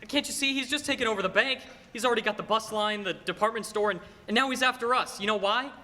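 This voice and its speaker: Young guy doing earnest flustered small town banker in a radio play, stammery and pleading, high voice, big echoey hall. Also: quick pace, sharp tone. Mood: pleading, urgent. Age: teens or young adult. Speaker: high school student actor. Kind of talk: stage radio play acting. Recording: reverberant hall, noisy stage recording.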